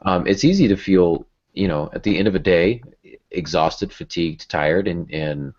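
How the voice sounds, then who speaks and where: Very deep-voiced man hosting a podcast. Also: normal speed, a little mellow. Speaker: podcast host. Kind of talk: casual conversational podcast talk. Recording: dull lo-fi podcast audio.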